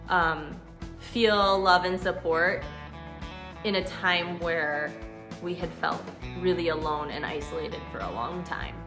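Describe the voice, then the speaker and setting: A woman's voice: medium pitch, fairly bright tone. A middle-aged American woman talking conversationally in a personal storytelling vlog, earnest and reflective, with emphasis on key words. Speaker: vlogger telling her own story.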